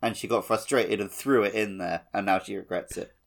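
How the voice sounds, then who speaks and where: A man's voice: on the low side, steady tone, a little fast, creaky. A man co-hosting a gaming podcast in a casual, playful, jokey way. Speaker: podcast co-host.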